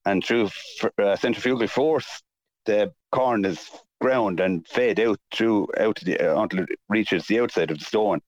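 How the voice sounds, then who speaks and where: A man with a deep voice and a south-east Irish accent talks as an interview guest. He explains in halting, measured phrases without a script. The sound is close and dry, if a little dull. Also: no echo. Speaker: mill restorer, interview guest.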